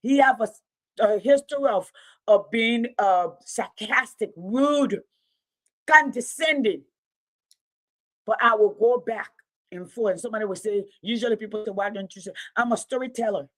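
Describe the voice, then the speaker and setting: A woman with a Liberian accent speaks in a somewhat high-pitched voice. Her English is a second language after Mano and Gio. She talks off the cuff like a storyteller hosting an online show, bluntly and with heavy emphasis, repeating phrases to drive them home. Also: moderate pace. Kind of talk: unscripted political commentary to livestream viewers.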